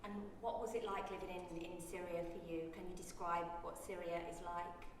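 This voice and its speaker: A woman journalist asking interview questions in a gentle, measured way. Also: lowish voice, level tone. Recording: very echoey, distant mic with faint noise.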